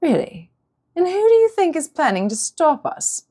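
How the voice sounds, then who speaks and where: A woman voicing a vain, seductive villainess with a rich, smooth voice and a mocking, purring delivery in an audiobook. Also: very high voice, very animated, normal speed, mellow, pitched above the performer's own voice.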